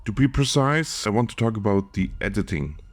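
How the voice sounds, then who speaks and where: A man with a German accent, a film editor reading a scripted video essay in a careful, measured way. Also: on the low side, animated.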